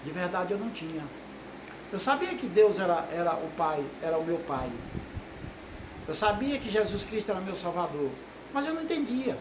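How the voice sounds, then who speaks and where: A man's voice: high-pitched, sing-song, normal speed. A man, giving an informal, rambling sermon to a video audience with many tag questions. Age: 60s. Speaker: lay preacher. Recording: echoey room with noise.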